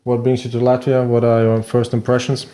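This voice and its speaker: A man hosting a livestream interview, asking plain, relaxed questions. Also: mid-range voice, brisk. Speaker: livestream host. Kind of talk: casual interview.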